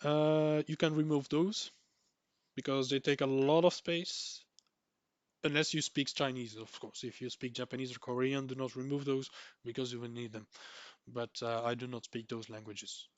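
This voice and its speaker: A young man from Belgium whose first language is Dutch, talking through a software tutorial, repeating words for emphasis with many ums and restarts. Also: medium pitch, fairly even intonation, normal speed, no echo, highs cut off. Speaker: tech tutorial creator. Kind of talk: screen-recorded software tutorial.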